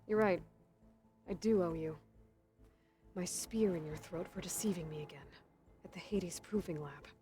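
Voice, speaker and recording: A woman acts as a determined young female warrior in a video game, her voice on the low side. She speaks bluntly and drily, like a guarded leader used to giving orders, with music behind her. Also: normal speed, long pauses, mellow, natural voice. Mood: threatening, stern. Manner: blunt, dry, commanding. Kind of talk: naturalistic game acting.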